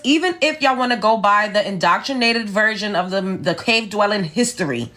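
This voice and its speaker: A woman's voice: a little high, a bit bright. A woman from the United States delivering a sassy, emphatic opinion rant to her viewers, lecturing as she reads and reacts. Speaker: commentator reacting to clips.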